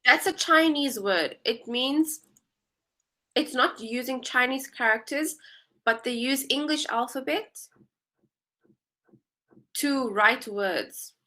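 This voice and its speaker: A woman's voice: sits high, takes it slowly, pauses often, pushed and bright. A woman in her twenties with a South African accent, chatting as an English tutor on a video call and explaining things patiently. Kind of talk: casual video-call conversation.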